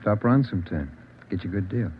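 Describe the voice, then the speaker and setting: A man's voice: on the low side, animated, a little fast, close to an everyday voice. A man acting a sullen, evasive murder suspect in a 1940s radio crime drama, curt and exasperated. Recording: dull, noisy old radio recording.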